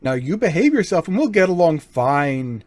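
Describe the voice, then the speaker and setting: A man playing a suave, menacing gentleman burglar in an old-time radio crime drama, mock-courteous and taunting. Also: on the high side, sing-song, normal speed, no room sound. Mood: threatening.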